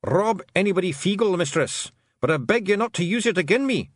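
A tiny blue Scottish clan leader from a storybook, speaks in a raised, very animated voice. He is exclamatory and deferential, forever anxious beneath the bluster, in a close, dry recording. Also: high voice, brisk, dry recording, pitched above the performer's own voice. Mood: nervous, deferential. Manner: exclamatory, pleading, dialect-heavy.

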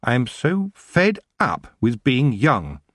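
A man's voice: mid-range voice, wildly swooping pitch, slow, a bit bright, dry recording, clean studio sound, pitched above the performer's own voice, heavily acted. A man voicing a proud young female witch who speaks firmly and with scorn. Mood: earnest. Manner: firm, rising to scornful.

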